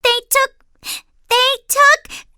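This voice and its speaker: A woman doing a shrill upset little girl cub. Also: flat delivery, extremely slow, no echo, exaggerated voice. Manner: shrill, shouting.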